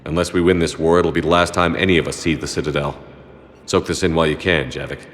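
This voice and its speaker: A military commander for a video game. His voice is deep and matter-of-fact, steady and resolute, with long reverb around it. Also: fast talker. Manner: plain-spoken, matter-of-fact. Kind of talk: dramatic video game acting.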